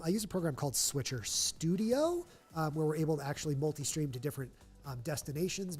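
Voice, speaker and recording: A man's voice: on the high side, a little mellow, breathy edge. A man with a nasal voice hosting a live charity stream, chatting upbeat to fill time. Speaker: livestream host. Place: stadium event room.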